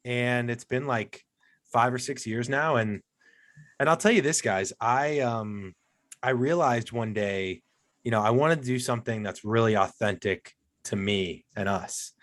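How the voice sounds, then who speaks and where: A man's voice: mid-range voice, a little slow, fairly bright tone. A man guesting on a golf podcast, chatting casually and telling stories with self-deprecating humor. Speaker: podcast guest, co-host of another golf show. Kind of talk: casual interview chat.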